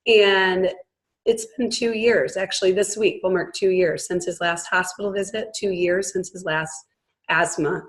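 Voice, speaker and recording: A woman in her thirties with a hoarse voice, having mostly lost it, earnestly sharing her personal story as a guest on a business call. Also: fairly low pitch, fairly even intonation, measured pace. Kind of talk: personal testimonial.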